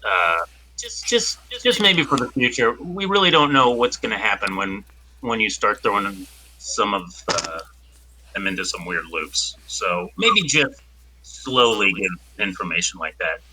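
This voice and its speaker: Male tabletop player, very bright forceful voice, lively pitch, halting in-character explanations. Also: slight background noise, poor audio. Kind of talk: improvised in-character role-play.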